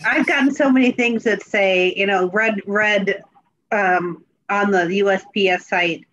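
A woman's voice: mid-range voice, a little slow, a bit bright. A woman chatting casually as she helps host an online group talk. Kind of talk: casual chat on a group video call. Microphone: computer on a video call. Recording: dull, slightly lo-fi video call audio.

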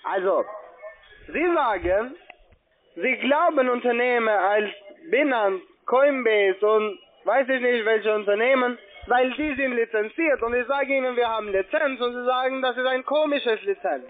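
Male phone agent, non-native German with a foreign accent, high pitch, insistent and repetitive, muffled phone line. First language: not German. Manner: insistent, halting phrasing with repetitions. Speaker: call-centre phone agent. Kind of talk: argumentative sales phone call.

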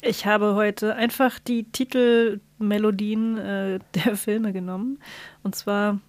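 A woman talks casually as a podcast co-host. Her voice sits at an average pitch, in a conversational style, with a dry, close microphone sound. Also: little pitch movement, slow pace, a little mellow, no echo.

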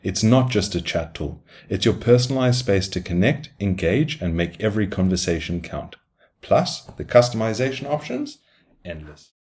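A man with a low voice delivers a scripted software tutorial. His pitch moves in lively swings, and his delivery is upbeat and salesy, like a presenter walking viewers through a product. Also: normal speed. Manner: upbeat, salesy phrasing with rhetorical questions. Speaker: solution engineer presenting a product demo.

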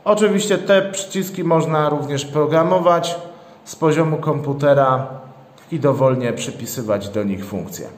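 A man's voice: on the high side, normal speed. A man giving an unscripted, matter-of-fact unboxing narration as a product reviewer, going through things methodically. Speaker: product reviewer, channel host. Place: indoors, at a tabletop. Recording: echoey hard-walled room, long reverb.

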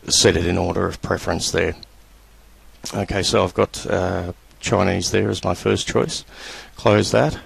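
Deep gravelly man walking through a tutorial. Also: no room sound. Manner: relaxed, halting, many fillers. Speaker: tutorial presenter. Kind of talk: screen-recorded software tutorial.

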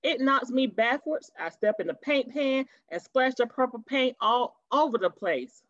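Lady reading a kids story, super expressive, chats to the kids, muffled webcam sound. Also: on the high side, measured pace, no echo. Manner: animated, chatty asides to young listeners. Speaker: teacher reading aloud to children. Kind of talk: expressive children's storybook read-aloud. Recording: dull, lo-fi webcam audio.

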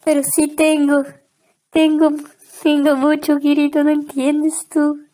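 A woman's voice: sits high, completely flat delivery, slow, mellow, a little hiss or hum. A woman voicing an upset, whiny young anime girl in an amateur comic dub.

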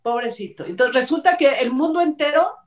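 High-voiced Mexican woman host, distant mic. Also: lively intonation, fairly quick. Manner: chatty, emphatic, sarcastic. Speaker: talk show host. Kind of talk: informal opinion commentary on entertainment news. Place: indoor room, video call.

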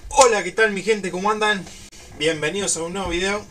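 A young man with a Uruguayan accent, telling a story casually to camera in an informal, halting way. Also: high voice, distant mic, loud music behind the voice. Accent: Uruguayan Spanish. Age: young adult. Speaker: YouTube storyteller. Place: small room at home.

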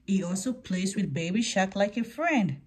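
A woman's voice: medium pitch, animated, normal speed, darkish tone, breathy edge. A woman reading a picture book aloud to children as a scripted story-time narration.

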